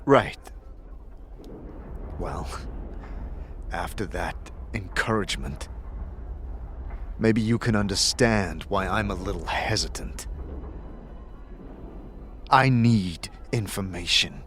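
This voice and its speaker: A man's voice: low voice, takes it slowly, long pauses, a bit bright, slight background noise. A man voicing a weary, guarded immortal adventurer in a fantasy audio drama. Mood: weary, frank.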